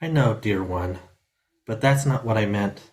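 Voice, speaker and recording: A man playing a gentle, wise old sage who speaks in a measured, cryptic way, in a fantasy audio drama. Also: fairly low pitch, lightly acted. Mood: calm, gentle. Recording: music behind the voice.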